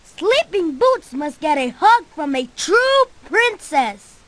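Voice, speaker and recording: An actress playing a small, earnest magical helper in a children's fairy-tale cartoon game, speaking in a deliberate, instructive way. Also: female voice, very high voice, sing-song, a bit bright, heavily acted. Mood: earnest, solemn.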